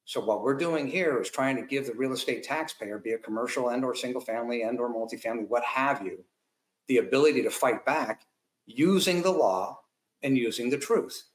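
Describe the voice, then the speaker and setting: A man's voice: mid-range voice, brisk. Blunt combative man, echoey room. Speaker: guest. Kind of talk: talk-show commentary.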